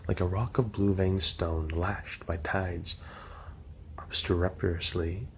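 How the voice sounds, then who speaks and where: Deep-voiced man reading poetry, ASMR style. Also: fairly even intonation, slow pace. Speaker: narrator reading poetry aloud. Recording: clear background noise.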